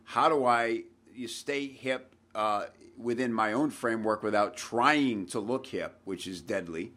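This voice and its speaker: Low-voiced man in his forties hosting. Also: measured pace, fairly bright tone. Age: 40s. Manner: scripted intro then loose, filler-heavy talk. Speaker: podcast host. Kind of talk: casual podcast chat.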